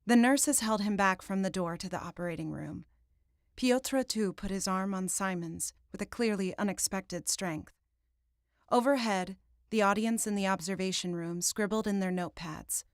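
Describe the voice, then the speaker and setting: A woman reading first-person fiction as an audiobook narrator, in a dramatic, deliberate literary style. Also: mid-range voice, no echo, studio quality.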